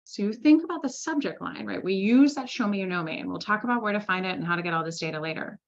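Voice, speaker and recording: Brief: woman sales trainer, lively conversational delivery, mid pitch, slightly muffled audio. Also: fast. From Switzerland. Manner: lively, conversational, with frequent "right?" tags. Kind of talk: presenting a masterclass.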